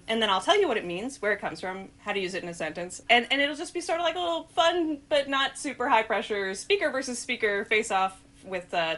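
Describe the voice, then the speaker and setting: A woman's voice: a little high, fairly bright tone. A woman hosting a casual language quiz video, chatty and upbeat, with frequent laughter in her talk. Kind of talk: casual game-show presenting and chat.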